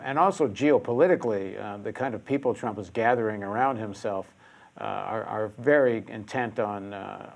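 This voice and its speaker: A man hosting a political interview, thinking aloud through long, discursive questions. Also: medium pitch. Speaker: interviewer and host.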